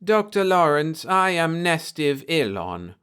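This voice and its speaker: Man playing soft-spoken, courteous reptilian alien captain. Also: on the high side, very animated, smooth, pitched above the performer's own voice, exaggerated voice. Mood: formal. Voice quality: soft, slurred voice. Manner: formal, measured, gentle.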